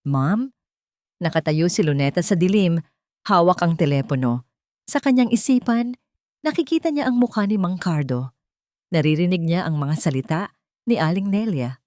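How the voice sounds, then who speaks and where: A woman storyteller narrates a romantic drama from a script. Her voice sits a little low for a woman, and the sound is dry and close, with very little room around it. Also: lively intonation, normal speed, a little mellow, no room sound.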